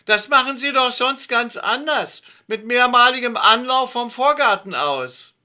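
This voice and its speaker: A man voicing a sharp-tongued, nosy middle-aged neighbor woman in a comic story read aloud, sarcastic and mocking. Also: extremely high pitch, lively intonation, unhurried, voice pushed up, full character voice.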